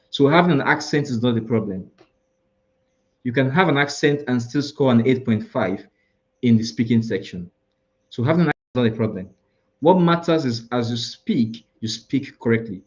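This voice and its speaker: A man's voice: medium pitch, darkish tone, lo-fi sound. A man with a Nigerian accent, teaching an IELTS preparation class live, explaining scoring and listing word alternatives with frequent restarts. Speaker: IELTS tutor.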